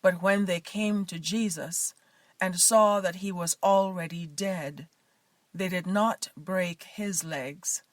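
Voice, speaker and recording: A woman's voice: lowish voice, steady tone, slow, no room sound. A woman reading scripture aloud in a solemn, formal style as a reader for a church service.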